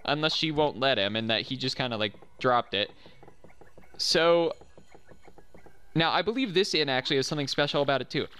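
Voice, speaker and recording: Chatty young man with a bright voice. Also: mid-range voice, brisk, pauses often, clean tone. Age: young adult. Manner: chatty, self-deprecating asides. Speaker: let's play commentator. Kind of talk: post-recorded gameplay commentary.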